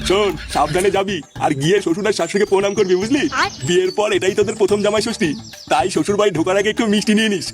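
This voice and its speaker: A man's voice: high voice, racing, over music, close to an everyday voice. A man voicing a fussing, doting old Bengali village grandfather in comic cartoon dialogue, grumbling in colloquial speech. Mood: fussing, advising.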